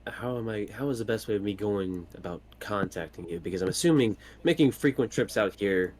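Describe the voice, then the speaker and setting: A man's voice: fairly low pitch, lively intonation, fairly quick. A man playing in a tabletop game, talking casually in character with a dry, sarcastic manner. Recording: noticeable background room noise.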